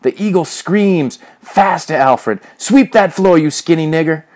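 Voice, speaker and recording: Guy doing buck-toothed young gang guy in a story, jeery goading slang, voice bumped higher. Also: animated, moderate pace, darkish tone, lightly acted. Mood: jeering. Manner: goading, jeering street slang. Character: grinning buck-toothed young gang sidekick, greedy, jeering.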